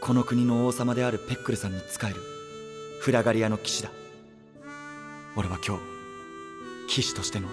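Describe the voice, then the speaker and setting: A man's voice: mid-range voice, steady tone, a little fast, pauses often, close to an everyday voice. A man playing an earnest, proud, devoted young knight in an audio drama, narrating his quest, with an Oita Kyushu dialect in dialogue. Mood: resolute. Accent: Oita, Kyushu Japanese dialect in dialogue. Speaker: voice actor. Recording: studio voice over prominent background music.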